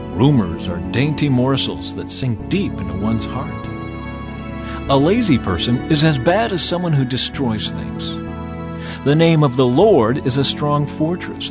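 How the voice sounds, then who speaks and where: A man delivers a dramatized scripture reading with lively, varied intonation. His delivery is measured and declarative, set over faint background music, with a mid-pitched voice. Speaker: audio Bible narrator.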